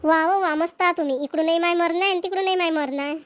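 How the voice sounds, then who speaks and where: A woman's voice: very high voice, fast, no room sound. A woman playing a practical, nagging village wife and mother in a cartoon comedy, with a Vidarbha Marathi accent. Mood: indignant.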